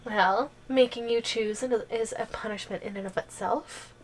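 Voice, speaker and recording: A woman's voice: fairly high pitch, normal speed, a little hiss or hum, natural voice, low-quality recording. A woman playing a playful, possessive giantess girlfriend in an ASMR audio roleplay, giggly and coy with lingering hums. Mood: teasing, menacing.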